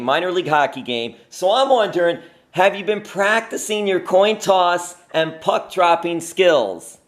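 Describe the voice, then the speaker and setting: A man hosting an interview, asking questions in an upbeat, enthusiastic way. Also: a little high. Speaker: interview host. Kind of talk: casual interview.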